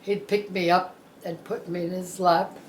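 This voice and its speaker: A woman in her nineties with a gravelly throat, telling old family stories in a rambling, halting way full of uh's. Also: on the low side, level tone, echoey room, slight background noise, poor audio. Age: 90s. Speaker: birthday celebrant. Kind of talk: casual family storytelling.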